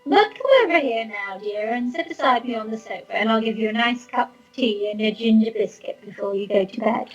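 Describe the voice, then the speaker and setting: A woman's voice: on the high side, muffled recording, voice pushed up. A woman voicing a sweet, fussy older landlady with a cooing, genteel manner and an eerily cozy air. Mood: cozy, coaxing. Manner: cooing, genteel, drawn-out exclamations.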